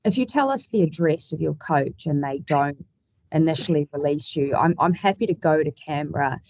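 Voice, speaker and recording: Low-voiced joking woman co-host. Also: lively intonation, moderate pace, a little mellow, no echo, poor audio. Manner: joking and playful. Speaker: podcast co-host.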